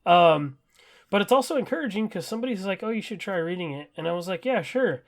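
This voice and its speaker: Higher-pitched gravelly man, chatty podcaster. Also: animated, quick pace. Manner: rambling, enthusiastic, heavy use of "like". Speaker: podcast co-host. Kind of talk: casual chat.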